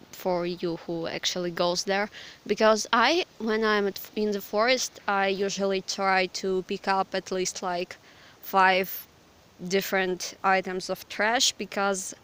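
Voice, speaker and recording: A hoarse, slightly sick young woman from Ukraine with a Ukrainian accent, chatting hesitantly and apologetically in a forest. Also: mid-range voice, fairly bright tone. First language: Russian. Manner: hesitant, apologetic, lots of fillers. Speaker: vlogger. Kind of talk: casual rambling chat. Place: outdoors in a forest.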